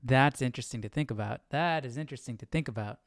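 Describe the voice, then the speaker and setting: A man's voice: medium pitch, fast, no room sound, clean studio sound. A man hosting a Bible study, casually commenting and thinking aloud with frequent questions and check-in tags. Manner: questioning, often adds "right?".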